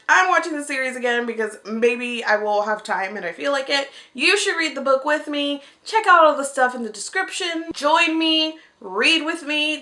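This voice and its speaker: High-pitched, playful young woman vlogger, echoey room. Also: pushed and bright. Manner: playful, self-deprecating, jokey asides. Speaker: video blogger, co-host of a read-along. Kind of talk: casual, scripted-feeling vlog chat to camera. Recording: roomy, echoey indoor sound.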